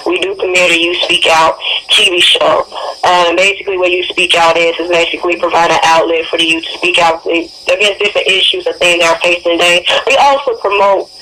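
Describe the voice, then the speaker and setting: American woman, radio interview guest, bright voice, earnest encouraging talk, phone line with faint noise. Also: lowish voice, steady tone, a little fast. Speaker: interview guest, youth TV show promoter. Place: calling in by telephone to a radio show. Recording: noisy phone call to radio show.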